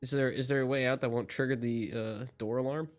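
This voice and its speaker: A man's voice: medium pitch, steady tone, brisk, faint music behind. A man playing a tabletop game in casual chat, thinking aloud with hesitations on a home microphone. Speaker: player.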